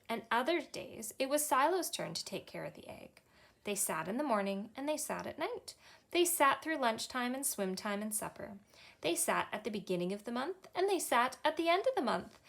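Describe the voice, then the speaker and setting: Lively Canadian woman reading a children's book. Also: a little high, gravelly. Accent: Canadian English. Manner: expressive storytime reading, bright and cheery. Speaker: children's librarian. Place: small indoor library room.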